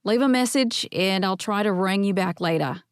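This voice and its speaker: A woman's voice: medium pitch, normal speed, clean tone, no room sound, clean studio sound, close to an everyday voice. A woman voicing a young man's easygoing answering-machine greeting. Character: young man on a recorded greeting.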